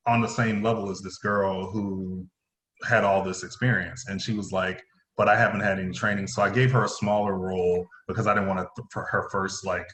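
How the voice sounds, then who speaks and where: A man's voice: deep voice, fairly bright tone, slight background noise. A man chatting casually about his creative work, quoting his own thoughts as he tells the story. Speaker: playwright, director and acting teacher. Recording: roomy, echoey sound.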